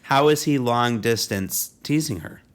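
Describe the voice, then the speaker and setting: A woman's voice: rumbling low, unhurried. A woman co-hosting a comedy podcast, trading casual banter in a dry, wry manner. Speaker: podcast co-host.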